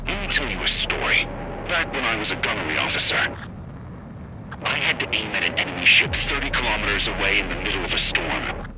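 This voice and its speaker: A man's voice: fairly low pitch, very animated, brisk, heavy background noise. A man playing a fanatical older submarine captain in a video game, with grandiose, dramatic delivery over a radio. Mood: ominous storytelling.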